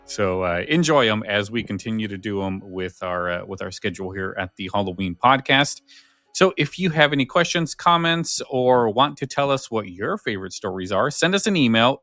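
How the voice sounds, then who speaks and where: A man's voice: lowish voice, a bit bright, no room sound. A man hosting a podcast, chatting casually and loosely with listeners in an informal, conversational way. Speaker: podcast host. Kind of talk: casual chat, show sign-off.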